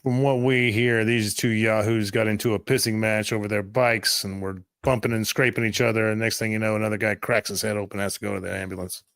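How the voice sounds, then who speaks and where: A man playing a curt, impatient police officer in a tabletop roleplay, gruff and official. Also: lowish voice, steady tone, brisk, hardly any pauses, a bit bright, dry recording. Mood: grudging, firm. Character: curt, impatient male police officer guarding crime scene.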